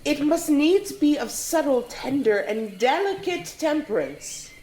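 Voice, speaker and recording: A woman's voice: high-pitched, lively intonation, measured pace, a little mellow, poor audio. A woman playing a gracious, hopeful noblewoman in a Shakespearean audio drama, earnest and ornate. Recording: faint noise, remote home recording.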